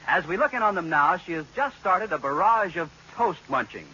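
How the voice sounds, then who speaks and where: An actor as the genial narrator of a 1940s radio comedy, reading scripted scene-setting. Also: male voice, sits high, very animated, moderate pace, sharp tone, breathy edge, full character voice. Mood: wry. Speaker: announcer. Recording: scratchy muffled old-time radio recording.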